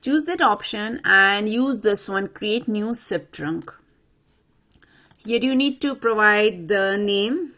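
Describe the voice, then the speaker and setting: A woman presenting an unscripted software tutorial, talking the viewer through each step in an instructional way. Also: medium pitch, animated, slow pace. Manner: instructional, addresses viewer directly. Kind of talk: step-by-step screen walkthrough, unscripted.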